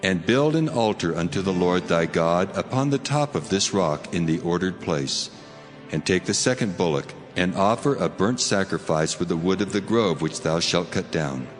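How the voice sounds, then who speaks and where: A very deep divine voice rolls through long reverb. It is solemn, measured and commanding, as in a dramatized scripture recording, with music underneath. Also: male voice, lively intonation. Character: God, an authoritative commanding male divine voice.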